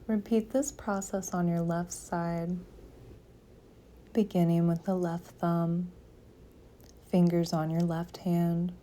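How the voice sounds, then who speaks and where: A woman leading a guided meditation, giving calm, gentle, soothing instructions with long pauses between phrases. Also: lowish voice. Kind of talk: guided yoga nidra meditation.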